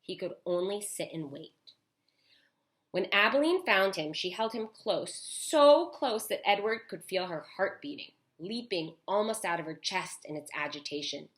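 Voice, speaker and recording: A woman teacher reading a storybook aloud to children, expressive, with chatty asides. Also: on the low side, a little slow. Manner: animated, with chatty asides to young listeners.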